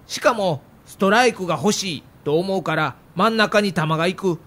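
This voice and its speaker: A knowing old Kansai baseball pundit. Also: male voice, sits high, moderate pace, fairly bright tone. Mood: explaining. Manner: explanatory, matter-of-fact. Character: veteran male baseball pundit, calm and knowing. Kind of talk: acted audio documentary.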